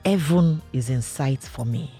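A woman from Cross River in Nigeria, speaking earnestly as a guest in an interview, with a loud, carrying voice. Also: a bass voice, measured pace, faint music behind. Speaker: interview guest, industry veteran. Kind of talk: conversational interview.

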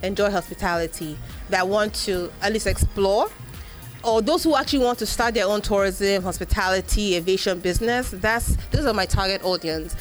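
Animated Nigerian woman telling her story. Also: mid-range voice, moderate pace, faint music behind. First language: Igbo. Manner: animated storyteller, acts out quoted voices, frequent fillers. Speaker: podcast host being interviewed. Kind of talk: live panel interview.

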